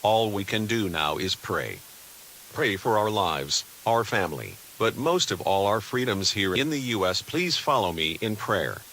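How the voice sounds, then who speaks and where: A man's voice: on the low side, animated, unhurried, a bit bright, rough recording. A man playing a grave, devout US defense official giving a solemn, formal scripted address to the nation. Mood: prayerful, solemn. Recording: hissy background noise.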